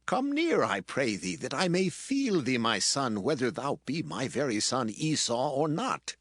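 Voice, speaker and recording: A man's voice: medium pitch, very animated, a little slow, fairly bright tone, dry recording, clean studio sound. An actor playing an aged, solemn patriarch father in a dramatized scripture reading, speaking with emotion. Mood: searching, uncertain. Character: aged patriarch father, solemn, trembling, blessing his son.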